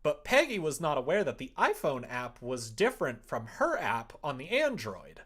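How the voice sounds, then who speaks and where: A male live streamer with a somewhat high voice chats casually. His pitch swings widely as he tells a rambling story, acting out both sides of exchanges with exasperation. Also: huge pitch swings, normal speed, fairly bright tone, slightly rough. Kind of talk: casual chat, rambling anecdote on a livestream.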